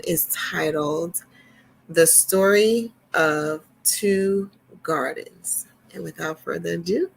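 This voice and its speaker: Female storytime host, lowish voice, very unhurried emphatic children's reading with conversational asides. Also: gravelly, a little hiss or hum, low-quality recording.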